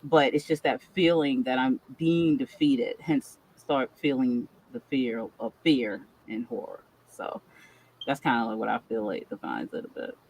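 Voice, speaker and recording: A woman's voice: rumbling low, unhurried, darkish tone, breathy edge, poor audio. A woman horror author on a panel, talking in an eager, rambling way with lots of fillers. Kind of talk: casual panel discussion.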